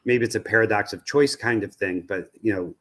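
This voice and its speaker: Male interview guest, American, research executive type, analytical talk in a lowish register. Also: fairly quick, fairly bright tone. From United States. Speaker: interview guest, radio research company president.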